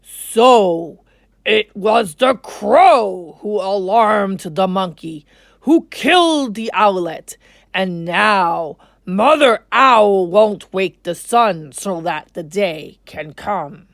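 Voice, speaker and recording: A woman voicing a grave, commanding lion king in a storybook, with regal, deliberate proclamations. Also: expressive, gravelly, no room sound, clean studio sound, exaggerated voice. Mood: proclaiming. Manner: regal, booming, slow proclamations.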